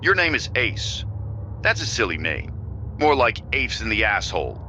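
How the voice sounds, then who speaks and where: A man's voice: fairly low pitch, sing-song, normal speed, pushed and bright, a little hiss or hum, heavily acted, rough recording. A man doing a blunt mocking sergeant. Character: blunt male base sergeant, insulting and bossy.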